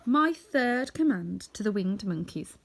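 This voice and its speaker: A woman's voice: mid-range voice, expressive, a little slow, vocal fry. Woman playing a kind, regal good witch. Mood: instructive. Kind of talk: children's storybook read-aloud.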